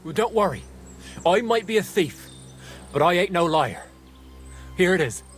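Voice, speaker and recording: Casting: cheeky medieval rogue, slightly high voice, rough-spoken, haggling for his skin, game drama. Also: lively intonation, normal speed, soft background music. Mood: nervous, hopeful. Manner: rough colloquial talk, hesitant hedging. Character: cornered male medieval thief, shifty, cheeky bargainer. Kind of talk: video game drama acting.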